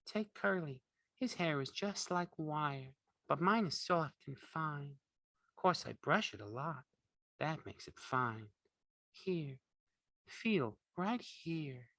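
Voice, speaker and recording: A man's voice: on the high side, animated, unhurried, sharp tone, no room sound, pitched above the performer's own voice. A man voicing a vain, chatty young ranch wife with a casual, folksy, self-satisfied way of talking, in an audiobook. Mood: complacent. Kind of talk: audiobook character acting.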